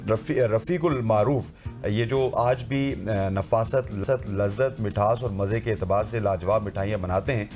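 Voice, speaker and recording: A hoarse man presenting a morning TV show in a formal announcer way, with fillers. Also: on the low side, moderate pace, a little mellow, faint music behind.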